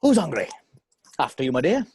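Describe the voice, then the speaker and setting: A man playing a jovial, boastful older theme park owner in a script table read, with theatrical, halting delivery. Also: a little high, huge pitch swings, darkish tone, a little hiss or hum. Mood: excited, welcoming.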